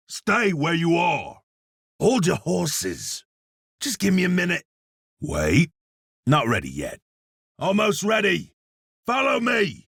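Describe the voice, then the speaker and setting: Gravelly, folksy frontier demon hunter. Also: male voice, sits high, pushed and bright, no echo, clean studio sound, heavily acted. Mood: firm, commanding. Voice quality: gravelly, raspy. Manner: folksy, drawling, courteous. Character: gruff, folksy male frontier gunslinger demon hunter, seasoned and courteous. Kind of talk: video game character voice lines.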